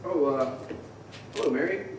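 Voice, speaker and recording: A man's voice: on the high side, normal speed, close to an everyday voice. Echoey, restless young man in radio drama, acted. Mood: flustered. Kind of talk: old-time radio drama stage acting. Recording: echoey theater, distant mic, faint noise.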